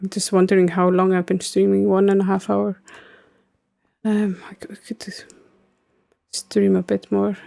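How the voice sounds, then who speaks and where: A woman's voice: medium pitch, completely flat delivery, normal speed, gravelly, long pauses, dark tone. A woman in her thirties from Iceland with an Icelandic accent, chatting casually with viewers while she plays a game, with lots of ums and likes. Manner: rambling, many fillers, answering chat. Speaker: streamer. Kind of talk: casual gaming stream chat.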